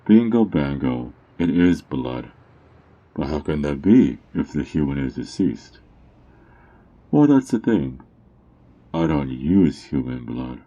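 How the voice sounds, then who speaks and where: A man playing a sly, mocking underground drug dealer in an ASMR roleplay, teasing and smug, with theatrical drawn-out words. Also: very deep voice, sing-song, dark tone, lo-fi sound, full character voice. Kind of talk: ASMR character roleplay monologue.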